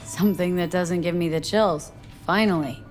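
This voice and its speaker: Actress as a street-tough ailing mercenary woman, low voice, wry, dry clipped casual delivery, video game, light music behind. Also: moderate pace, darkish tone, natural voice. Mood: wry, uneasy.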